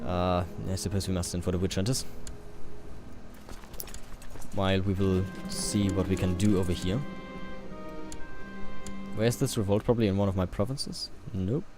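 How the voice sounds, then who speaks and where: A man with a lowish voice commenting on a strategy game as he plays. He reads event text aloud and makes dry, offhand jokes, with faint music playing behind him. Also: fairly even intonation, moderate pace. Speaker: let's play host. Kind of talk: casual gameplay commentary.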